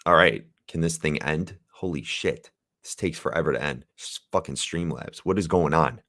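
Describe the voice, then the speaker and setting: A young man with a raspy voice, chatting casually as host of a comics livestream, rambling and laid-back with some swearing. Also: rumbling low, moderate pace, a bit bright, dry recording, studio quality. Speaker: podcast host.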